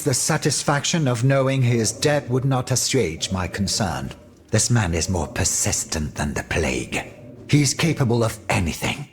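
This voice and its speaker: A man's voice: medium pitch, natural voice. An actor playing a flamboyant, indignant French nobleman in a video game, with a florid, declamatory manner. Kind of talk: video game cutscene dramatic acting.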